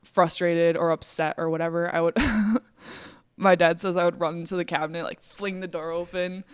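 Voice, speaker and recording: Young woman with a smooth, clear voice. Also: on the low side, moderate pace, dry recording, studio quality. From Minnesota, USA. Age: 20s. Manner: animated storyteller, laughs easily. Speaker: illustrator guest. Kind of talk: casual conversational interview.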